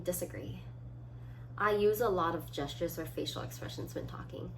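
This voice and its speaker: A woman of about twenty chatting casually as a solo vlogger, reading items aloud and thinking through short, halting answers. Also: fairly low pitch, poor audio. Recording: clearly audible background noise.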